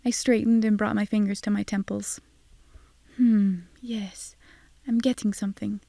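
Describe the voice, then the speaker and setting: A woman's voice: mid-range voice, steady tone, measured pace, vocal fry, warm tone, dry recording. A woman narrating a scripted first-person audio drama in a wry, sardonic manner.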